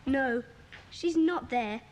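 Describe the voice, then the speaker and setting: A woman playing a bossy, excitable schoolboy club leader in a children's audio drama, with a commanding, eager manner. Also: sits high, slow pace, a little hiss or hum, rough recording.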